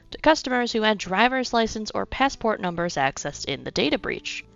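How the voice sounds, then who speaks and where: A woman's voice: on the high side, creaky, a bit bright, lo-fi sound. A young woman hosting a podcast, narrating a script with a slightly congested voice from a cold and dropping in wry asides. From United States. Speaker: podcast host.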